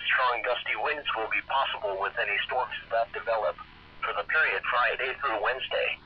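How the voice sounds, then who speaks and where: A synthetic male voice reads a scripted weather forecast as a radio announcer, measured, stiff and impersonal. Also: mid-range voice. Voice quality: synthetic text-to-speech voice. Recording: dull, noisy weather radio broadcast.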